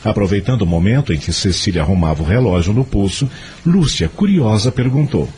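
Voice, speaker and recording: A man's voice: lowish voice, very animated, a little fast, dark tone. A man with a Brazilian accent narrating a scripted audio drama as a storyteller. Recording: music behind the voice.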